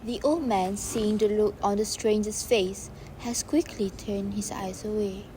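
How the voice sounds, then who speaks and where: A woman's voice: medium pitch, fairly even intonation, unhurried. Woman narrating a play, noisy recording. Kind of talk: scripted stage play narration. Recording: clearly noisy background.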